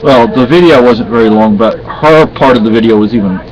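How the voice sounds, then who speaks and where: A man's voice: mid-range voice, a little fast, darkish tone, rough recording. A middle-aged man from Spain chatting casually and rambling on a home vlog, often correcting himself. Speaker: vlogger. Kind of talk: casual chat.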